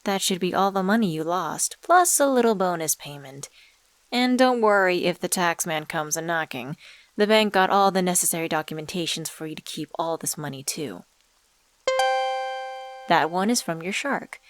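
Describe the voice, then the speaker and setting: An actress plays an enigmatic female stranger with a fairly low voice, recorded close and dry. She is a smooth-talking supernatural dealmaker from an ASMR audio drama. Her delivery is deliberate, coaxing and teasing. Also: animated, dry recording, soft background music, natural voice. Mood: playful, satisfied. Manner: coaxing, teasing, deliberate, calls listener sweetheart. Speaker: voice actor in a scripted audio drama.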